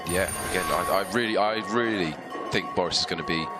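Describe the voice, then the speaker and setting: A man with a Yorkshire accent giving quick, analytical and good-humoured sports co-commentary. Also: low voice, loud music behind the voice. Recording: commentary over loud outdoor track noise.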